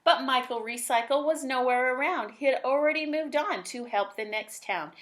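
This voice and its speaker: A woman's voice: high voice, moderate pace, fairly bright tone. A woman reading aloud expressively in the style of a children's storybook.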